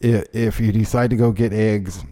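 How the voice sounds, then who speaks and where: A man's voice: lowish voice, steady tone, moderate pace, warm tone. A man hosting a talk show, ranting in a rambling, indignant way with lots of fillers. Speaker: show host. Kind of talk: ranting casual chat.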